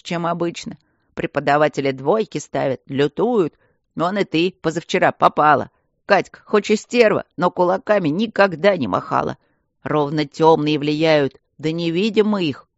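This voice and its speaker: A woman's voice: on the low side, dry recording, highs cut off. A woman voicing a fussy, doting old male stone guardian in an audiobook, lecturing primly like a schoolmistress. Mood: fretful, coddling. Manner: prim, coddling, schoolmistress-like lecturing.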